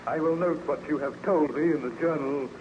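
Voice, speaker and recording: An actor playing a formal, courteous ship's captain in an old-time radio drama, speaking in a measured way. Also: male voice, fairly high pitch, lightly acted. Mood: attentive, courteous. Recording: noisy, muffled old-time radio recording.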